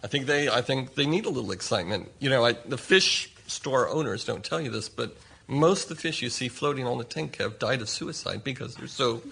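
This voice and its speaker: An American man pitching an idea as a comedy show contestant, with a deadpan delivery. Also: brisk, vocal fry, husky. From United States.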